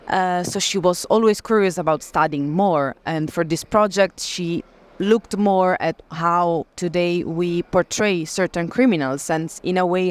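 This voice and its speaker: A woman presenting artworks in an explanatory way at an art fair, often halting to search for the right word. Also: sits low. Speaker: gallerist presenting an artist.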